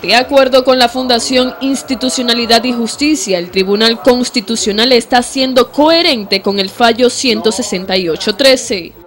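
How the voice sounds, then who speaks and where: A woman's voice: fairly high pitch, normal speed, few pauses. A woman narrating a scripted television news report.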